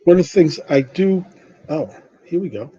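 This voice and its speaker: A dull, muffled recording carries the husky, dark-toned voice of an older man. He talks like a professor answering questions, informally working through an explanation. His delivery is halting, often restarting and rephrasing as he goes. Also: a little high, animated, no echo. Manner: halting, with frequent repeated starts and self-corrections. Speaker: sociology professor and presenter.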